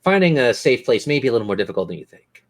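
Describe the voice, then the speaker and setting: A man's voice: medium pitch, sing-song, racing. A man narrating a tabletop role-playing game as game master, describing scenes and prompting players with questions.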